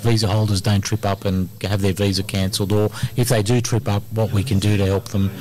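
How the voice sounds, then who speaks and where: A man's voice: fairly low pitch, flat delivery, a little fast, darkish tone. A middle-aged Australian man, an immigration lawyer, talking energetically in a casual radio interview with plenty of ums and uhs, recorded in a community centre hall.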